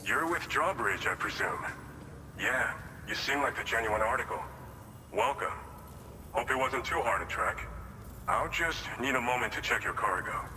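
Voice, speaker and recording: A man playing a chatty, welcoming facility staffer in a video game, with animated delivery. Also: mid-range voice, moderate pace, long pauses, a little hiss or hum. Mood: welcoming, impressed. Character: lively, welcoming male facility staffer in awe. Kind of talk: scripted game dialogue. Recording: echoing hall, music behind.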